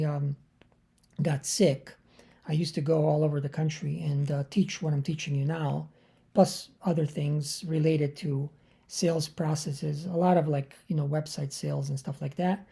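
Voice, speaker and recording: Raspy, gravelly-voiced man. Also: fairly high pitch, warm tone. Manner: loose and conversational, with frequent filler sounds. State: hoarse. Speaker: sales trainer hosting a webinar. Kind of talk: live webinar presentation. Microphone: computer or dial-in mic on a live online call.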